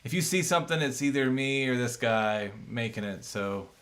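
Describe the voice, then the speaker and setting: A man's voice: mid-range voice, animated, moderate pace, fairly bright tone. A man in his thirties, co-hosting a casual chat and reading viewer comments aloud in a laid-back, chatty way. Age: 30s. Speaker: co-host.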